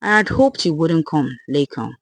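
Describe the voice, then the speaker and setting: A storyteller voicing an older village woman, a serene and chilling cult mother who explains herself softly and patiently. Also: mid-range voice, sing-song, moderate pace, no echo. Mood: chillingly calm. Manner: soft, patient, unfazed explaining.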